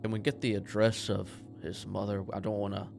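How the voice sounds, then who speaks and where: A man's voice: on the low side, flat delivery, brisk, gravelly, over music. A man playing a tabletop game, chatting casually and sometimes groping for his words.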